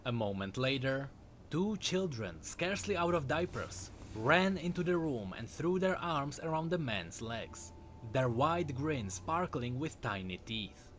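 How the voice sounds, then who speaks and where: A man's voice: medium pitch, lively intonation, measured pace, a bit bright, slight background noise. A man narrating a dramatic scripted story as a storyteller.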